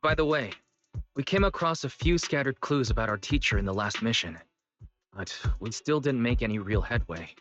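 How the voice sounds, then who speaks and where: An actor playing a cocky, theatrical young hero in a video game, with a playful manner. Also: male voice, medium pitch, expressive, moderate pace, a bit bright, lo-fi sound, faint music behind, natural voice. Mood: earnest. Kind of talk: scripted video game voice acting.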